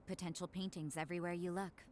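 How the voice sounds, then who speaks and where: Low, cool, aloof young woman played by an actress. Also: level tone, darkish tone, a little hiss or hum, close to an everyday voice. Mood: calm, aloof. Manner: dry, unhurried, coolly probing. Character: cool, aloof, suspicious young woman, a vigilant church sister. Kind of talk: video game voice acting. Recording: game music behind the voice.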